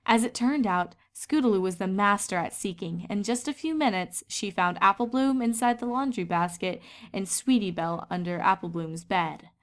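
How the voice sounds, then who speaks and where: A woman's voice: mid-range voice, normal speed, few pauses. A woman reading scripted story narration as a fan fiction reader.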